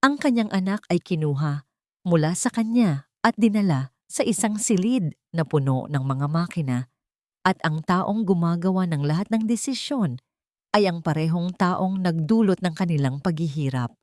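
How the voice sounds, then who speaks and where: A woman narrating a scripted audio drama in a measured, dramatic storytelling manner. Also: lowish voice, expressive, warm tone, dry recording, studio quality.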